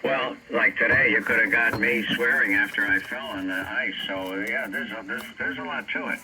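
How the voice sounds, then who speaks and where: A man's voice: on the low side, moderate pace, creaky. An older American man chatting casually over shortwave amateur radio, telling rambling anecdotes. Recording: very noisy shortwave radio reception, heavy static.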